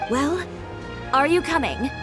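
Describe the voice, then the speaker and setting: A woman voicing a cool, bold young female tailor with a sharp temper in a video game, wry and curt in manner. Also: high voice, expressive, takes it slowly, music in the background. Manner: self-assured, wry, curt.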